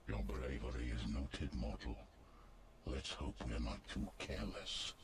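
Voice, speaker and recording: An actor playing a grave guardian of the souls of the dead in a fantasy video game, speaking formally and solemnly. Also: male voice, rumbling low, animated, a little slow, gravelly, a crowd in the background, heavily acted. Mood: grave, cautious. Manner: formal, solemn, archaic phrasing. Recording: noisy background ambience.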